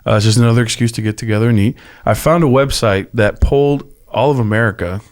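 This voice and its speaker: A man's voice: mid-range voice, quick pace. A man co-hosting a radio show, chatting casually and listing things with enthusiasm. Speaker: co-host.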